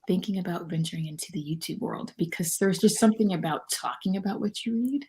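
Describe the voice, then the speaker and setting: Slightly low-voiced woman, casual chat. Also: quick pace, mellow. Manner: conversational, informal. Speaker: livestream guest and book reviewer.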